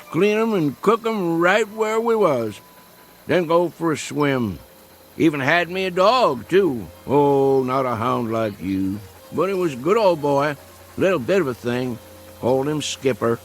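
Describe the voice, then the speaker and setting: A man's voice: mid-range voice, natural voice. An actor playing an old rural American hunter in a radio drama, folksy and rambling, talking fondly to his hound. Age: older.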